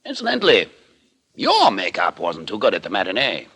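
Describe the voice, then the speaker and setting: A man's voice: sits low, huge pitch swings, a little fast, bright, forceful tone, slightly rough, exaggerated voice. An actor playing a vain, callous male stage magician in a theatrical, condescending 1940s radio style. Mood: smug, needling.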